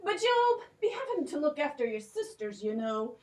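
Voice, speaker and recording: A woman's voice: sits high, sing-song, normal speed, roomy sound, distant mic. A woman voicing a poor, fond old father with a folksy old-country lilt in a children's puppet show. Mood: doting.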